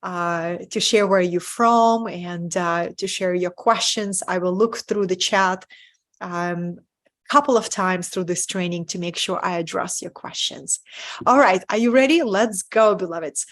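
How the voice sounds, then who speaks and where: A woman's voice: on the low side, unhurried. A middle-aged woman from Russia with a Russian accent, presenting a live webinar as a spiritual business mentor in an earnest way and checking in with listeners with "right?". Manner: warm, earnest, often asks "right?" to the audience.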